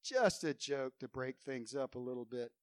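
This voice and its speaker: A pastor preaching a fervent sermon, quoting scripture with rising emphasis, his voice a little hoarse. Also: mid-range voice, moderate pace, no room sound, studio quality.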